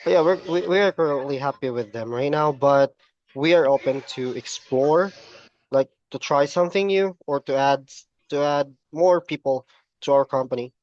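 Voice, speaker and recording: A man's voice: on the high side, normal speed, a little mellow, no room sound, muffled recording. A man playing the prospective client on a sales call, talking casually and hesitantly, often repeating words as he agrees. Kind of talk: casual phone conversation.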